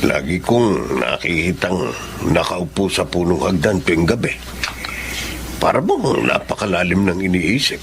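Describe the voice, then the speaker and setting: A man's voice: sits low, expressive, unhurried, noisy background. A man playing a worried older father musing about his son in a radio drama. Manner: concerned, musing.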